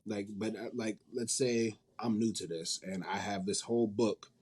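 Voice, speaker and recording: A man's voice: lowish voice, fairly even intonation, normal speed, a little mellow, slightly rough. A man being interviewed in a casual, informal and rambling way, often correcting himself as he goes. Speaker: interview guest, manga company head and creator.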